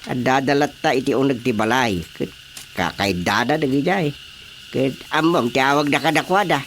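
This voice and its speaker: A man playing a chatty, grumbling older father in a radio comedy drama, with an Ilocano accent and an animated, mocking way of telling stories. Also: medium pitch, normal speed, a little hiss or hum, close to an everyday voice.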